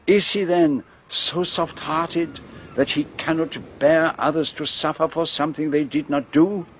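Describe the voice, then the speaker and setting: An actor playing a courteous, methodical Belgian detective in a radio drama, with a French-speaking Belgian accent and formal, precise phrasing. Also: male voice, fairly high pitch, steady tone, measured pace, slight background noise, natural voice. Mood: thoughtful.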